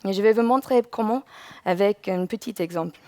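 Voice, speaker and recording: A woman's voice: medium pitch, fairly quick, warm tone, no room sound. A woman from England, a native English speaker with an English accent, giving a prepared conference presentation as a user researcher.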